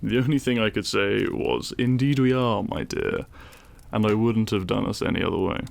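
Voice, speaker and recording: A man playing a devoted, wry husband in an intimate audio roleplay, speaking in a dry, measured, fond way. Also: lowish voice, gravelly. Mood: playful. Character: devoted, wry British husband sheltering a guest.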